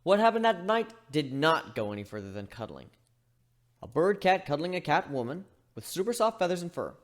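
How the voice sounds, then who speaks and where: A man giving an expressive amateur dramatic reading of a story. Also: fairly high pitch, brisk. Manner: animated, expressive delivery. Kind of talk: dramatic reading of fan fiction.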